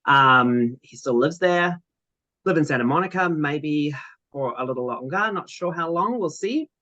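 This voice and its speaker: A man from South Africa gives a chatty, rambling self-introduction as a netball coach. His voice is bright and forward. The sound is dry and close, through a video-call mic. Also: fairly high pitch, animated, a little slow, no room sound, muffled recording. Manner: chatty, laughing, rambling anecdotes.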